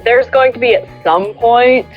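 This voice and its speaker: A woman's voice: fairly high pitch, unhurried. A woman role-playing casually in a tabletop game, playful and teasing, on a dull lo-fi microphone with music behind. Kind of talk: casual in-character role play.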